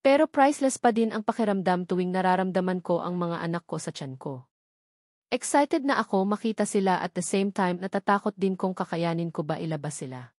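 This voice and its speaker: A woman's voice: lowish voice, animated, fairly quick, a little mellow, no echo, studio quality. A woman with a Filipino accent reading a first-person novel as a scripted narration. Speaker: audiobook narrator.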